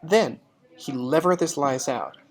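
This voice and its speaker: A teacher giving instructions and reading a storybook aloud in a deliberate, expressive, explanatory way. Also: mid-range voice.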